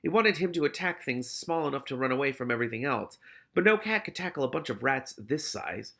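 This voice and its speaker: A man's voice: mid-range voice, animated, fairly quick, vocal fry, bright, forceful tone. A man reading a novel aloud expressively, with casual, candid asides of his own.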